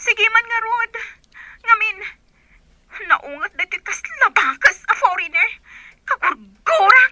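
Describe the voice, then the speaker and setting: A woman's voice: very high voice, lively intonation, measured pace, long pauses, sharp tone, full character voice. A woman playing a naive, aggrieved young woman in an amateur audio drama, with an Ilocano accent. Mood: distressed.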